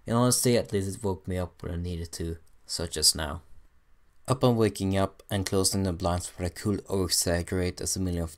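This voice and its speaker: A man reading a fan fiction story aloud in first person, hesitant and stumbling at times. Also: low voice. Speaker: amateur story reader.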